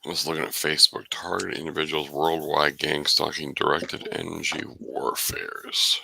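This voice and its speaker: A hoarse man rambles and curses on a livestream. His voice is very deep and gravelly, with a sharp, bright, dry edge. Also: steady tone, normal speed, dry recording. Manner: rambling, profane. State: losing his voice. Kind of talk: casual livestream chat.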